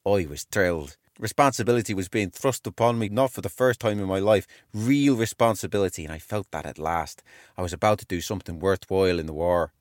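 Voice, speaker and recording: A man acting a low-voiced, earnest young army clerk. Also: a little fast, no echo, studio quality, close to an everyday voice. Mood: earnest, keen. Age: 20s. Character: earnest young British army clerk reading his wartime diary. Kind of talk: dramatised diary reading.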